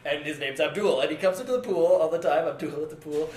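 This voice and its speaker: A man's voice: fairly high pitch, fast, a little mellow, slight background noise. Laughing young man telling stories. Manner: laughing storyteller, rambling. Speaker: podcast co-host. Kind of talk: casual podcast chat. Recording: echoey room.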